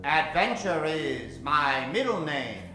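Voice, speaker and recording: A man's voice: on the high side, very animated, takes it slowly. A man hosting and narrating in a mock-grand, theatrical old-time radio announcer style. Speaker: host, announcer and narrator of a live radio show. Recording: roomy live stage sound with music.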